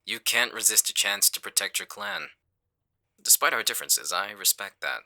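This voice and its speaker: Very deep, gravelly, smug male villain acted. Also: animated, sharp tone, full character voice. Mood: calm menace. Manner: smug, deliberate, condescending. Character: smooth-talking male villain, calculating, menacing leader. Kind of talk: audio roleplay acting on a phone call.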